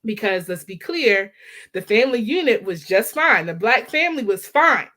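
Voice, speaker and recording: A woman's voice: medium pitch, big pitch swings, moderate pace, pushed and bright. A woman in her thirties from the Baltimore area, a guest talking animatedly and laughing as she tells her story over a laptop video call. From Baltimore area, Maryland. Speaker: guest, maternal health director. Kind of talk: casual interview chat.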